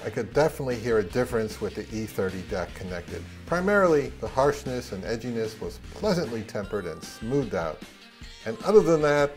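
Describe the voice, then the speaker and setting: A man's voice: mid-range voice, a little mellow. A middle-aged man, narrating a product review of audio gear.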